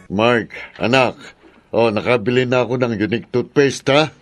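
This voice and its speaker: A man's voice: mid-range voice, normal speed, faint music behind, lightly acted. An actor playing a disgruntled, protective older father in a Filipino radio drama, grumbling wearily. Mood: grumbling, resentful. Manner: grumbling, weary.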